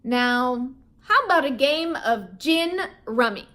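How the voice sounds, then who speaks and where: A gruff older man from a children's storybook, short-spoken and grumpy but warming up, read aloud by a woman. His voice sits a little high, with lively rises and falls. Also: slow, heavily acted.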